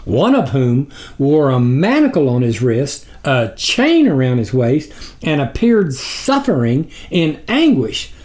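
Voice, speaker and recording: A man's voice: mid-range voice, wildly swooping pitch, takes it slowly, a little mellow. A man reading his own book aloud as a dramatic audiobook narrator.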